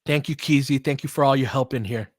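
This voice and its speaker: Relaxed young man calling a game live. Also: medium pitch, quick pace, no echo, clean studio sound. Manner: relaxed, conversational, answers chat by name. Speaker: host of a live game watch-along stream. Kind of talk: casual live play-by-play and chat with viewers.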